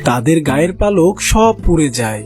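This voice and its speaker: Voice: medium pitch, sing-song, moderate pace, darkish tone. A narrator reading a scripted children's fairy tale for a cartoon. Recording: music behind the voice.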